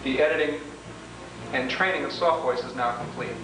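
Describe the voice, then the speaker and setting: A man narrating an instructional software demonstration, explaining each step in order. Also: medium pitch, normal speed. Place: reverberant indoor room, away from mic. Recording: very echoey, distant, noisy 1980s tape.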